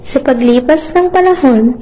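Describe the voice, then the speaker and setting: A woman's voice: high voice, moderate pace, dark tone, rough recording. A woman narrating a scripted story for a puppet show in storybook style.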